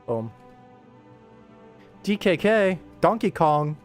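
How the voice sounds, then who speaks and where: A man's voice: on the high side, sing-song, drawn-out words. A man talks casually through his work on a livestream, rambling and muttering to himself as he thinks aloud. Game soundtrack music plays behind his voice.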